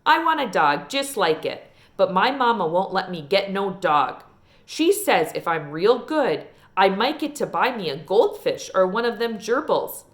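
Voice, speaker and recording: A woman's voice: mid-range voice, big pitch swings, unhurried. A woman voicing an earnest, chatty five-year-old girl with childlike, folksy speech in a storybook reading. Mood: earnest, curious.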